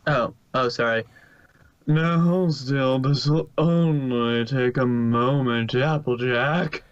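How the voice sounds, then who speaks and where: A man plays a fussy lady pony in a storybook reading, speaking slowly and smoothly. The manner is genteel and precise, on a slightly dull recording. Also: medium pitch, no echo, lightly acted. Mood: fussy, focused. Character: refined, fussy female pony stylist.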